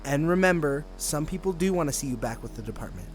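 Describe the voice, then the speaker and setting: Encouraging male police detective, played by an actor, audio drama, earnest and talkative, lighter pitch, music underneath. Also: expressive, quick pace, close to an everyday voice. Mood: earnest, persuading.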